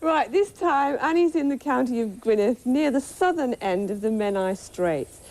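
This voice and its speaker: Brief: cheerful female co-presenter, 30s, light high voice, giggly. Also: lively intonation, normal speed, darkish tone, low-quality recording. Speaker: studio co-presenter. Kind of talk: studio game show presenting. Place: TV studio set. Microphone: lapel mic.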